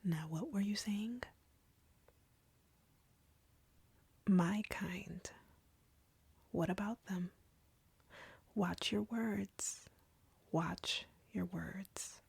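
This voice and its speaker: A woman playing an arrogant, cruel, flirty female vampire captor in an audio roleplay, taunting in an intimate, breathy, husky whisper. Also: medium pitch, a little mellow, dry recording, heavily acted. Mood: mocking, menacing. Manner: taunting, slow, deliberate, drawling.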